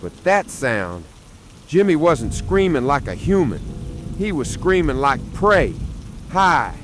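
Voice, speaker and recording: A man's voice: a little high, sing-song, slow pace, music in the background. Guy doing a salty old army grandpa on apocalypse radio, blunt, voice swings up and down a lot. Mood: horrified. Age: older. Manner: blunt, salty military storytelling. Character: blunt old male Vietnam veteran grandpa, tough. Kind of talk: dramatic radio monologue.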